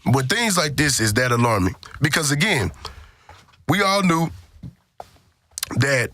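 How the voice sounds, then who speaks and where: A man's voice: mid-range voice, sing-song, a little slow, pushed and bright, dry recording. A man hosting a casual panel discussion, speaking emphatically and repeating phrases for stress. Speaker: podcast host.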